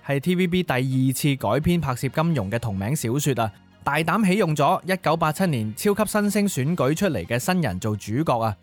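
A man co-hosting a music nostalgia programme in a scripted narration style. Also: mid-range voice. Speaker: co-host of a Cantopop nostalgia show.

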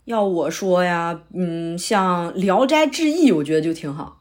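A woman's voice: fairly low pitch, lively intonation, a little slow, darkish tone. A woman playing an earnest male playwright in an audio drama, talking persuasively and explaining his ideas at length. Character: earnest middle-aged male playwright, eager pitcher. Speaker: playwright character.

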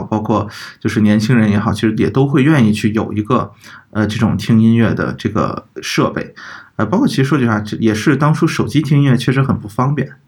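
略带沙哑的男声，随意聊天. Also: male voice, lowish voice, slightly rough. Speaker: audio podcast co-host. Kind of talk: casual reflective discussion.

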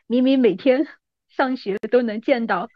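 Chatty woman with a highish voice. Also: unhurried, dry recording, dull audio. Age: 30s. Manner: chatty, informal. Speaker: podcast guest. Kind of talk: casual reminiscing chat.

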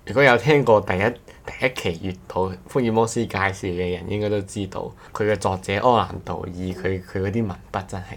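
A male co-host with a low voice and a Cantonese accent. He talks in a casual, anecdotal way, looping back to repeat and restart phrases. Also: brisk, vocal fry, hardly any pauses. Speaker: podcast co-host.

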